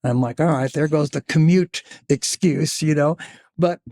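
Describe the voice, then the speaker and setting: An older man in his seventies talks as a podcast guest, his voice sitting a little high for a man. He rambles through his stories, circling and restarting as he hunts for the right words. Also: measured pace, creaky, mellow. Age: 70s. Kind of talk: casual storytelling chat.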